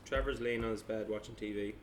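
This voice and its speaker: A man's voice: lowish voice, fairly even intonation, fast, slight background noise. A man narrating stage directions at a table read, plainly, with small stumbles as he reads.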